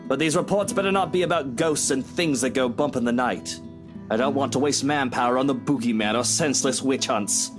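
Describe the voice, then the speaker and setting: An actor playing an arrogant, smug mansion owner in a video game, haughty, commanding and clipped. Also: male voice, medium pitch, brisk, music in the background, close to an everyday voice. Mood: stern, suspicious. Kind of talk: visual novel voice acting.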